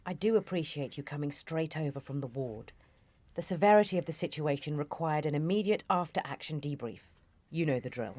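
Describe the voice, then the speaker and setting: Senior intelligence officer, radio drama, female actor, British. Deep female register, composed, formal, businesslike authority. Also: lively intonation, brisk, a little mellow, dry recording. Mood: politely formal. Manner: controlled, formal, firm.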